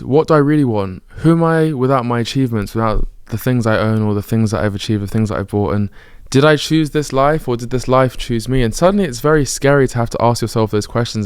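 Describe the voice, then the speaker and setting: Fast-talking British man, thirty, candid. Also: mid-range voice, smooth, no echo, clean studio sound. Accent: British English. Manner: candid, rambling, self-correcting. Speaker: vlogger. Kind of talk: reflective personal monologue.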